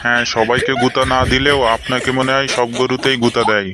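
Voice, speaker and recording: Guy doing a strict fed up interviewer in a cartoon, scolding, flat pitch, noisy clicky audio. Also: brisk, natural voice. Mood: stern, exasperated. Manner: scolding, formal questioning. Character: stern, exasperated male job interviewer. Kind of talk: comic cartoon dialogue.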